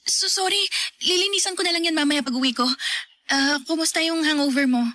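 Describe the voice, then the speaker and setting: Tired guarded thirtysomething lady, hesitant and sorry-sounding, bit high voice. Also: fairly quick, pushed and bright, no room sound. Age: 30s. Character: weary woman in her thirties, guarded. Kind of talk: radio drama acting.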